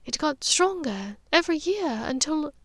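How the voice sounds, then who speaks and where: A high, soft voice of a gentle aristocratic witch mother, put on by a woman narrator. She is knowing and wistful, pausing delicately and sometimes fading toward breathiness. It is an audiobook character in a dry, close recording. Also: lively intonation, no room sound.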